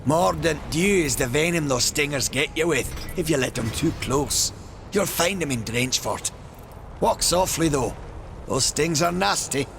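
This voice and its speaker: Friendly young blacksmith giant, earnest, bouncy intonation, kinda high for a man, video game. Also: expressive, measured pace, gravelly, a bit bright, a little hiss or hum, exaggerated voice. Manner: folksy, earnest, chatty. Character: eager good-natured young Maker smith, brawny giant. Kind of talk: video game character acting. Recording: game music behind.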